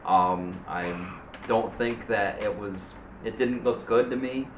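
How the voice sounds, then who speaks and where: Low-voiced, halting middle-aged man. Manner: halting, plain-spoken. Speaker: co-host of a movie review channel. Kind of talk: casual chat. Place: room at home. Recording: roomy echo, faint background noise.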